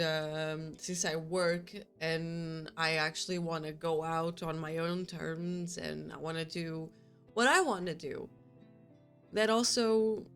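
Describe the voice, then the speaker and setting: A woman's voice: low voice, a bit bright. Stuffy-nosed young woman chatting on stream. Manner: chatty, rambling, laughs often. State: stuffy nose from a cold. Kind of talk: casual chat with live chat.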